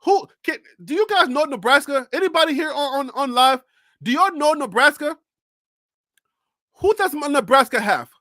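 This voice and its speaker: Incredulous, high, bright sports ranter. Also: expressive, normal speed, no room sound, studio quality. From North Carolina. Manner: incredulous, rhetorical questions, frequent laughter. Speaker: sports show host. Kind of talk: live-streamed sports rant.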